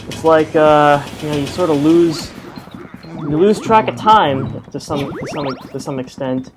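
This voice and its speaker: A man giving casual, rambling let's-play commentary through an earphone headset mic, full of fillers and self-deprecating asides. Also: fairly high pitch. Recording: game music playing behind. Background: game music.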